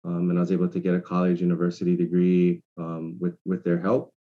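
A man, speaking as a guest in a measured, reflective way over a remote call. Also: low-pitched, completely flat delivery, muffled recording. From Hawaii. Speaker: guest entrepreneur. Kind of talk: casual conversation.

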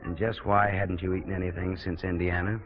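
A man acting in 1940s radio style as a brisk, exasperated but kindly theatre director, wry and commanding. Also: low-pitched, level tone, lightly acted. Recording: muffled 1940s radio broadcast.

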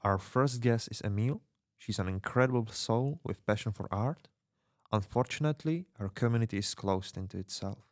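A man's voice: fairly low pitch, normal speed, dry recording, clean studio sound, natural voice. A man playing an earnest rebel radio host in a dystopian drama, speaking solemnly and rallying the listener in a scripted, intimate address. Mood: earnest, welcoming.

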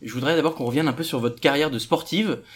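A man's voice: on the high side, quick pace, husky. A man hosting an interview podcast on site in a ministry office, asking questions in a casual, chatty way. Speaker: podcast host.

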